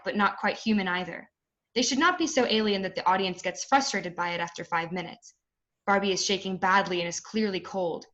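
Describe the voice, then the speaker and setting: A woman's voice: medium pitch, a bit bright, muffled recording. A woman reading stage directions as scripted narration for a play reading.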